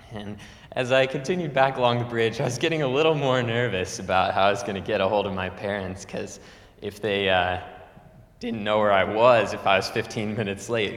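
A man's voice: lowish voice, fairly bright tone. A young man from Nebraska, a student telling a true story to an audience in a chatty way, laughing often and using lots of fillers. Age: young adult. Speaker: student speaker. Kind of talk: storytelling speech. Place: lecture hall. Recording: echoey hall with long reverb.

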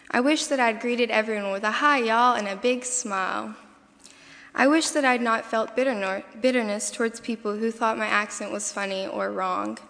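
A young woman from Tennessee with a softened Southern accent, reading a prepared personal essay as a student speaker. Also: on the high side, vocal fry. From Eastern Tennessee. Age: young adult. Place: campus convocation hall. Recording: reverberant hall.

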